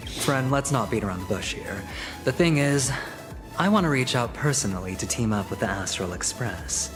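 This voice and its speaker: A man's voice: mid-range voice, animated, normal speed, natural voice. Male actor for scheming young negotiator in a story-driven game. Suave, showy, persuasive delivery over prominent music. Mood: smooth, scheming. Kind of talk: video game story dialogue.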